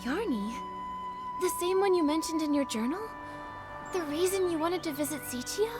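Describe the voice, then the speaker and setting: Earnest high-voiced young game heroine. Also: female voice, lively intonation, normal speed. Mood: curious. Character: earnest, kind young heroine girl, curious and determined. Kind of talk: video game story dialogue. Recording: background music under the voice.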